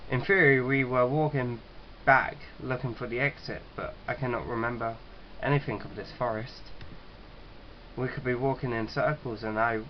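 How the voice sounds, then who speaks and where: A man's voice: medium pitch, steady tone, lo-fi sound, a little hiss or hum. A man reading a horror game's story aloud, stumbling over words and dropping in casual, sweary reactions. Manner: halting reader who stumbles and rereads. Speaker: gaming video host.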